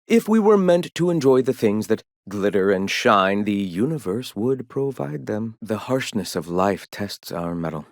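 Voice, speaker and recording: Casting: a zealous male sect philosopher for a game, grave and lofty orator, slightly low voice with sweeping intonation. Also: sing-song, dry recording, clean studio sound. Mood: serene, preacherly. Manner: lofty, preacherly, measured oratory with grave formal diction. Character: zealous male philosopher leader of an anti-corporate rebel sect. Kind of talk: video game character dialogue.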